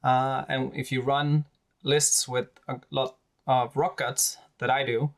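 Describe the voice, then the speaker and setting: A man with a Swedish accent, a native Swedish speaker, talking casually through tabletop army lists with many pauses and fillers. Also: mid-range voice, level tone. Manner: hesitant, many fillers and restarts. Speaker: tabletop wargaming creator.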